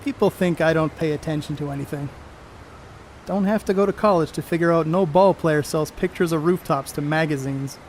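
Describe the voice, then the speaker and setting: A man's voice: a little high, brisk, darkish tone, slight background noise, natural voice. A man playing a boastful, crude star ballplayer in a 1930s stage play, cocky and needling in folksy slang. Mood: sly, needling. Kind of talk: amateur stage play acting, 1930s period dialogue.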